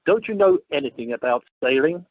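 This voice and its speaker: A man's voice: a little high, expressive, a little fast, no room sound. A man in an amateur radio play, playing an indignant, grumpy sea captain with a curt, exasperated manner. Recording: dull telephone conference line.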